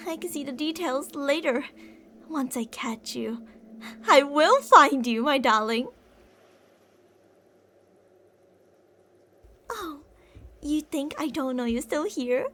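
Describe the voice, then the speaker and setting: A woman's voice: high-pitched, lively intonation, unhurried, pushed and bright, heavily acted. A woman playing an older, obsessive yandere kidnapper in an ASMR roleplay, with a theatrical, teasing sing-song manner, sweet-talking and menacing. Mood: coaxing menace.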